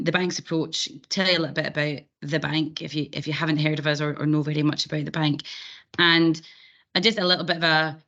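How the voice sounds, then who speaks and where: A woman with a croaky, raspy voice from a cold, giving a webinar presentation with many ums and restarts. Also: low voice, fairly bright tone. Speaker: bank presenter. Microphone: video call. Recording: dull lo-fi call audio.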